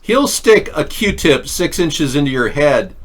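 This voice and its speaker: A man's voice: on the high side, sing-song, takes it slowly. A man hosting a political commentary livestream, talking in a sarcastic, ranting way. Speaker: host.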